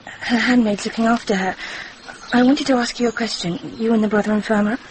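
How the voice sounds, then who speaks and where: A woman's voice: fairly high pitch, steady tone, brisk, natural voice. An actress playing a composed, determined young medieval noblewoman in a radio drama. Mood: insistent. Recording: noisy radio drama recording.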